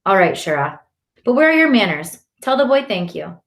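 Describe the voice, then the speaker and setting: A woman's voice: mid-range voice, very animated, normal speed, fairly bright tone. A woman voicing a bossy, protective older girl scolding her little sister firmly, in an audiobook. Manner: scolding, firm.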